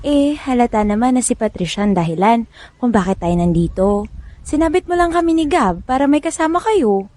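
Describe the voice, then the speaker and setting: Sassy young female best friend, pushy matchmaker, radio drama, fairly high voice. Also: expressive, a little fast, a little mellow. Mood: teasing. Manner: sassy, teasing.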